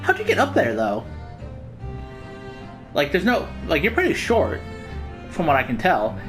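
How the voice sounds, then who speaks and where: Female let's play host, casual and slangy, rambling delivery, animated intonation, soft music behind. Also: deep voice, unhurried. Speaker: gaming video host.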